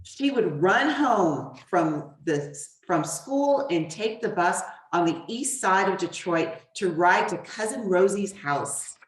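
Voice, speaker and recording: A woman reading a children's storybook as guest author, in a deliberate, expressive way with pauses. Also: mid-range voice. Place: echoey room, away from the mic. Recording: roomy echo, distant mic.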